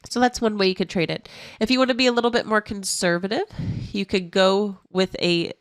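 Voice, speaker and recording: A woman who sounds stuffy from a cold, walking through trading charts as an options analyst in a clear, explanatory way. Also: mid-range voice, brisk, dry recording.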